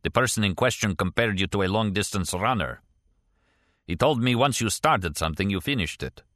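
An older Russian defector acted by a male audiobook reader speaks in a low voice with a Russian accent. He is proud and shrewd, placing formal words deliberately. The sound is dry and close. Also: animated, a bit bright, no room sound. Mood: probing. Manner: deliberate, formal, precise wording.

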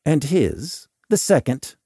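Guy doing an eccentric mocking doctor for a storybook, very swoopy pitch, formal and theatrical. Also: sing-song, takes it slowly, dark tone, husky, no echo, studio quality, full character voice. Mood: politely probing. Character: eccentric, coolly mocking male doctor lurking in shadows. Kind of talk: audiobook character dialogue.

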